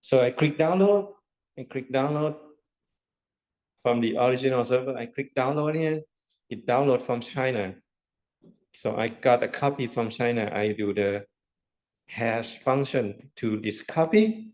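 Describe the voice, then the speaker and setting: A man's voice: mid-range voice, measured pace, darkish tone. A man lecturing a university class, explaining step by step with rhetorical questions and repetition. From Thailand.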